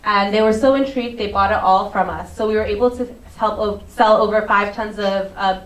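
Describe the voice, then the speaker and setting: A woman's voice: mid-range voice, fairly even intonation, fairly quick. Young woman giving a casual stage talk. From Singapore. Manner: casual, friendly, many ums and uhs. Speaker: head of business development, stage speaker. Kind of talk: presentation talk to a live audience. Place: event stage before an audience. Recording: roomy venue sound, distant mic, faint ambience.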